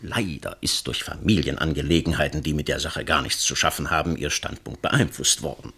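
A man's voice: a bass voice, fairly quick, vocal fry, a bit bright, slightly rough, heavily acted. A man playing a scheming political go-between in a German radio play, florid and unctuous in his rhetoric. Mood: unctuous, persuasive. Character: oily, scheming male political go-between flattering his mark.

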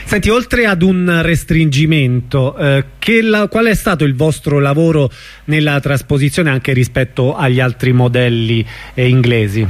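A man speaking conversationally as a radio interviewer, attentive and quick to agree. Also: mid-range voice.

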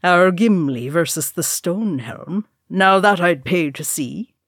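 A woman voicing a sly old male dwarf tavern keeper in a storybook reading, wily and folksy, with drawn-out phrasing. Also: fairly low pitch, expressive, no room sound, studio quality. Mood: amused, sly.

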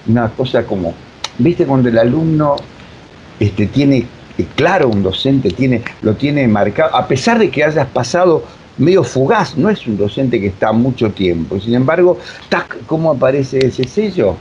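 A mature man with an Argentine accent explaining reflectively as an interview guest, with emphatic repetition and deliberate pauses. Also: medium pitch, very animated, a little mellow. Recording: dull lo-fi sound, faint noise.

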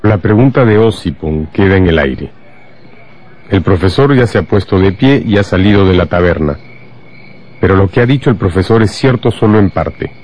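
An actor narrating a radio drama as a grave storyteller, in a measured, suspenseful manner. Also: male voice, sits low, lively intonation, darkish tone.

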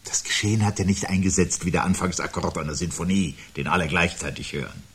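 An actor playing a brooding, anxious man who prophesies the end of life, speaking gravely and deliberately with a husky voice in a 1970s radio play. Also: deep voice, fairly bright tone, a little hiss or hum. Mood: bleak, insistent. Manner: grave, deliberate, articulate, philosophical monologuing.

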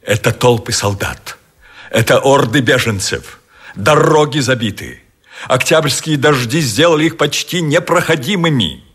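An actor playing a blunt, disillusioned army general in a radio drama, reporting tersely and formally with an edge of scorn. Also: male voice, medium pitch, unhurried, a bit bright, slightly rough. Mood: scornful, grim.

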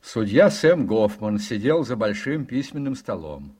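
A man reading dramatic scripted narration for a radio play in an expressive, literary manner. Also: fairly low pitch, measured pace, slightly rough.

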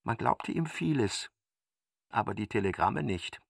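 An actor narrating a literary radio play, an expressive and ironic storyteller. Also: male voice, lowish voice, a little slow, gravelly, breathy edge, no echo, studio quality, heavily acted. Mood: wry narration. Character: wry literary narrator of a novel, male.

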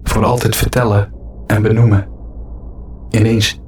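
A man delivering a reflective spoken-word monologue in short, deliberate, repeated phrases for an audio-play podcast. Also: on the low side, little pitch movement, a crowd in the background. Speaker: podcast host. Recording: echoey room sound, faint background noise.

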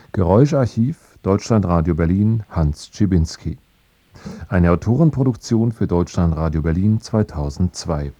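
A male radio announcer reading broadcast credits in a measured, formal way. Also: sits low, warm tone, breathy edge, no echo, muffled recording.

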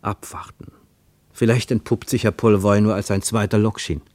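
A man narrating a radio drama in a measured, expressive literary storytelling manner. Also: lowish voice, dry recording.

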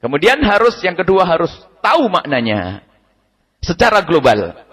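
A man giving a lively, emphatic religious study lecture, repeating his key points and chuckling now and then. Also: sits high, normal speed, breathy edge. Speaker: preacher. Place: study gathering hall. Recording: radio broadcast with long hall reverb.

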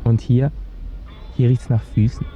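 A man's voice: mid-range voice, level tone, unhurried, dark tone, dull audio, soft background music, close to an everyday voice. An actor playing a curious, cheeky teenage boy with a blunt, wry way of asking things in a radio drama. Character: curious, cheeky teenage boy travelling with his old mentor.

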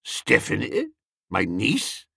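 A man's voice: lowish voice, wildly swooping pitch, takes it slowly, gravelly, bright, forceful tone, slightly rough, no room sound, clean studio sound, heavily acted. A man voicing a pompous older magistrate uncle, stiff, formal and aghast, in a comic audiobook. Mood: aghast, incredulous.

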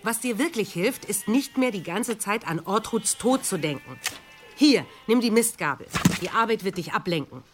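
Actress as animated, no-nonsense farm mother. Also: mid-range voice, a little hiss or hum, natural voice. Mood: stern, practical. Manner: brisk, no-nonsense. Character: stern, practical farm mother who keeps everyone working. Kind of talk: German audio drama acting.

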